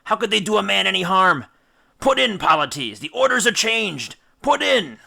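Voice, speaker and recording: Storybook sea captain, played by a male reader: frantic, commanding, raised pitch, forceful delivery. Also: big pitch swings, normal speed, gravelly, exaggerated voice. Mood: frantic, urging. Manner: shouting, imperious, desperate.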